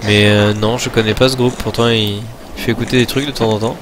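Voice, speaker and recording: A man's voice: lowish voice, steady tone, brisk, background noise. A man in his twenties from France, chatting casually while streaming a game, rambling with many fillers and restarts, talking into a desk mic at his computer. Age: 20s. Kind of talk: casual chat while gaming live.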